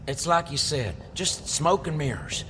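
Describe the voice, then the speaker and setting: A brash, crude adventurer in an audio drama. His bright voice jumps all over the place as he talks, and the sound carries a long reverb with faint ambience behind it. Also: fairly high pitch, sing-song, unhurried, slight background noise. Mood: tender.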